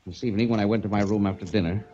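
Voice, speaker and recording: A man's voice: on the low side, steady tone, rapid-fire, mellow, natural voice. A man playing an angry, threatening host in a 1940s radio drama, curt and commanding. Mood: curt, seething. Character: angry, threatening male host of a tense household. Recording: muffled old-time radio recording.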